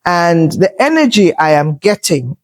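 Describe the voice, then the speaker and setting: A woman in her fifties hosting a podcast, speaking slowly and deliberately. Her voice is loud and assertive, and she talks in a thoughtful, reflective way with frequent pauses. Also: fairly low pitch, big pitch swings. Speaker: podcast host. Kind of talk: reflective conversational talk.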